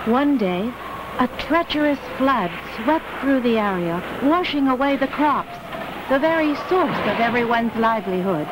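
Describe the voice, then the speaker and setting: A woman giving solemn storytelling narration for an animated film. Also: a little high, measured pace, very noisy.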